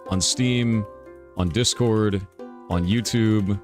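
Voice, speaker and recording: A man streaming and talking casually in a relaxed, rambling way. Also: lowish voice, takes it slowly, soft background music.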